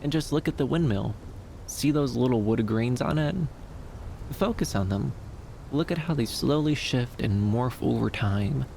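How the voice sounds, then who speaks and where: A man playing a gentle, shy, dreamy young femboy in an ASMR roleplay, soft-spoken and hesitant, soothing, with lilting upturns. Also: medium pitch, unhurried, creaky, a little hiss or hum.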